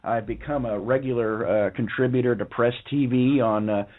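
Hoarse man talking over a phone line. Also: medium pitch, steady tone, moderate pace. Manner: rambling, many fillers and restarts. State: hoarse from a lung infection. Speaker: radio show guest. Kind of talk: casual phone-in interview talk. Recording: narrow phone-line sound.

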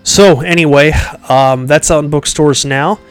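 A man's voice: a little high, unhurried, clear, resonant tone, faint music behind. A man hosting a podcast in casual, rambling chat, with a nasal, congested-sounding voice and lots of fillers and restarts. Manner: rambling, many fillers and restarts, chuckles often. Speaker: podcast host.

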